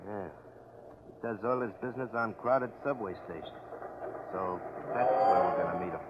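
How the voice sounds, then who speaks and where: A man acting a tough gangland henchman in a 1940s radio crime show. He talks in rough slang but knows his place under the boss. The vintage recording is dull, with clear background noise. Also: fairly low pitch, moderate pace, natural voice. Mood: guarded, tough. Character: rough, obedient male gangster henchman and getaway driver.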